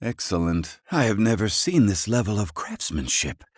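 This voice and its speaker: Grumpy old village inventor from a fantasy game, lowish voice, snooty and curt, fancy old-timey wording, super dry recording. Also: male voice, very animated, moderate pace, breathy edge, dry recording. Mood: intrigued. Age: older. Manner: haughty, curt, archaic erudite phrasing. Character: gruff, proud, learned old male inventor of fantasy village. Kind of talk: video game character dialogue.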